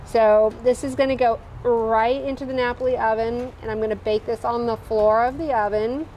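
Lady chef explaining a recipe on an outdoor patio, kinda high voice, traffic noise behind. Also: normal speed, lo-fi sound. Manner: explanatory, relaxed, with fillers. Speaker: guest chef demonstrating a recipe. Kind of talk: cooking demonstration. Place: outdoor kitchen showroom patio. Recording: noisy outdoor recording.

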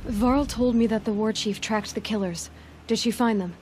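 A woman's voice: on the high side, normal speed, darkish tone, slight background noise, natural voice. Woman voicing a young game huntress, direct, dry, sure of herself. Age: young adult. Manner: direct, dry, self-assured. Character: young female hunter, bold and direct. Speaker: game protagonist.

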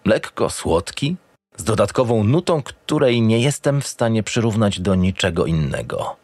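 Low-voiced, gruff, cynical detective. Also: male voice, no room sound, exaggerated voice. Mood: grim narration. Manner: curt, swearing, impatient. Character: gruff, cynical, experienced male homicide detective. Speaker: actor playing the lead detective. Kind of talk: audio drama acting with noir narration.

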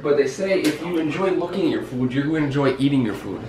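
A man's voice: fairly high pitch, animated, fast talker, a little mellow, recorded from a distance. A man bantering dryly with his wife in a casual family vlog at home. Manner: dry, joking. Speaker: vlogger's husband. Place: home kitchen, indoors. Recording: echoey room, faint background noise.

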